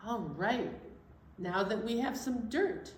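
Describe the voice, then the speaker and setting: Echoey room, lady a bit far from the mic doing kids storytime, talks slow and encouraging right to the little viewers. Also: fairly high pitch, a little hiss or hum. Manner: encouraging, addresses young viewers directly. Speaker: children's storytime host. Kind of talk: children's storytime presentation. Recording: roomy echo, distant mic.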